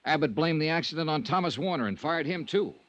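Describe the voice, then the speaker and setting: A man playing a brisk, dogged, wry insurance investigator in a 1950s radio detective drama. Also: on the high side, expressive, fairly bright tone, dry recording. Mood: pointed. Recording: old radio drama recording.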